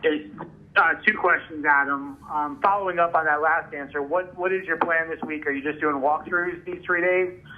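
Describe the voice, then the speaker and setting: Higher-voiced male reporter on tinny phone. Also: steady tone, moderate pace. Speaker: newspaper reporter asking questions. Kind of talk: press conference questioning. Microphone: phone call. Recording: tinny telephone line.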